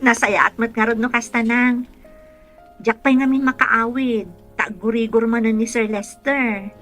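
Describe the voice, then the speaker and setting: A woman's voice: on the high side, steady tone, unhurried, fairly bright tone, faint music behind. An actress playing a cheerful, frank young female caregiver with an Ilocano accent in a radio drama. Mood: gentle, dutiful.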